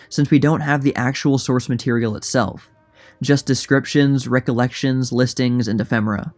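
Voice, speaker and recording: A man narrates a scripted documentary in a middle-pitched voice. His delivery is plain and informative, like a channel creator explaining his research. Music plays behind him. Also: a little mellow.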